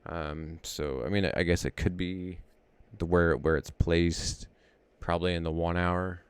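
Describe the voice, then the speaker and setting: A man's voice: sits low, fairly even intonation, a little slow, smooth, no echo. A man casually thinking aloud as he walks through trading charts, rambling and correcting himself as he goes. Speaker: trading educator.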